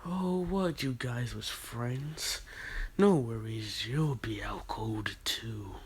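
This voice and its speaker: A man voicing a sinister young male ninja who taunts slowly in a low, hushed whisper. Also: slightly rough. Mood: menacing. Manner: slow, taunting. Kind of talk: fan story roleplay.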